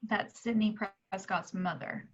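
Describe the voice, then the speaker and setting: A woman playing a brash, sharp-tongued TV reporter in an amateur table reading of a film script. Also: medium pitch, measured pace, slightly rough. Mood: guarded, sardonic. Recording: dull, muffled home recording.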